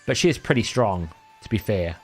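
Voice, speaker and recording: A Welsh man with a lowish voice talks through his game plan on a live stream, chatty and thinking out loud as he goes. Welsh was his first language, and he often stops to correct himself mid-sentence. Faint music plays under his voice. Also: animated, brisk, slightly rough. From Wales. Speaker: livestream host. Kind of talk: casual gameplay commentary.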